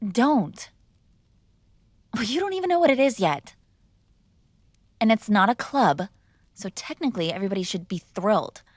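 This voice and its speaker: A woman's voice: mid-range voice, big pitch swings, normal speed, pauses often, no echo. Woman acting a spoiled, sarcastic young heiress, close and dry. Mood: insistent, breezy. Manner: sarcastic, exasperated, casual drawl with sighs. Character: spoiled, bratty, argumentative young adult daughter of mob boss. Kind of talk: intimate ASMR roleplay acting, one-sided conversation.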